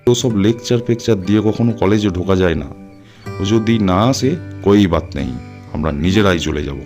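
A man's voice: low-pitched, moderate pace, a little mellow, soft background music, close to an everyday voice. A man playing a blunt, scornful young man in an audio story, with a dismissive, slangy way of talking.

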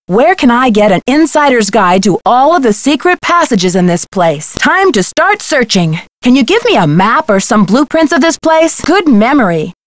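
A woman's voice: on the high side, big pitch swings, moderate pace, few pauses, no echo. A woman playing a plucky, determined young amateur detective in a video game, earnest and inquisitive. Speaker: voice actress in a detective adventure game. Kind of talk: scripted video game dialogue.

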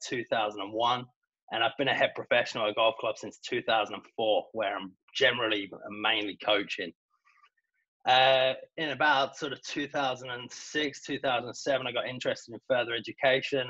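A British man, a golf coach, giving a measured webinar presentation over a video call, with some hesitations. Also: mid-range voice, pushed and bright, muffled recording. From Britain.

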